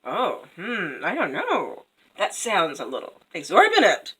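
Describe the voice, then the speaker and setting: A woman acts out a mild, worried king in a storybook tale. He sounds hesitant and casual, eager to please, with a bright tone and lively pitch. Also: unhurried, husky, full character voice. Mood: doubtful.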